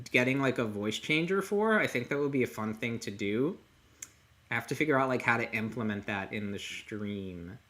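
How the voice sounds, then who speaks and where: A man with a bright, forward voice at an average pitch hosts a casual livestream. He rambles through his commentary in a halting way, thinking aloud as he goes. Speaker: livestream host. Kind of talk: casual discussion of a comic chapter.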